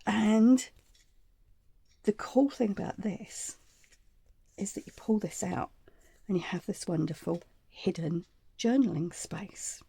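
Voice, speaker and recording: Croaky, gravelly-voiced woman host. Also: medium pitch, expressive, takes it slowly, pauses often, dark tone, no echo. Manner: chatty and meandering, with frequent ums and asides. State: croaky voice from a chest cold. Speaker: craft channel host. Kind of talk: casual craft tutorial.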